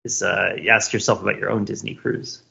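Man, vocal fry, sharp bright tone, playful banter, slightly dull recording. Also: on the low side, fairly even intonation, a little fast. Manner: playful, riffing. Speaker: podcast panelist. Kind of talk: casual comic banter.

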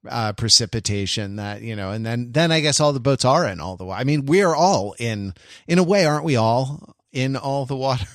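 A man's voice: medium pitch, animated, a little fast, no echo, studio quality. A middle-aged man hosting a casual podcast, bantering in a wry, wordy, mock-pedantic way. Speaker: podcast host.

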